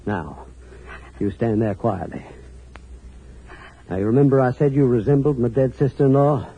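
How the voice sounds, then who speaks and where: An actor playing a folksy but sinister New England hotel keeper with a clipped drawl, in a 1940s radio thriller style. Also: male voice, medium pitch, moderate pace, creaky, mellow, slightly rough. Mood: menacing, commanding. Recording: muffled old radio recording.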